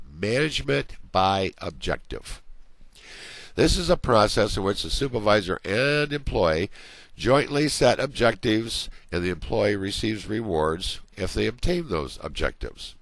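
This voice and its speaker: A man's voice: fairly low pitch, very animated, unhurried, gravelly, dry recording. A man giving a recorded college management lecture over slides, conversational and full of everyday examples, while he is losing his voice.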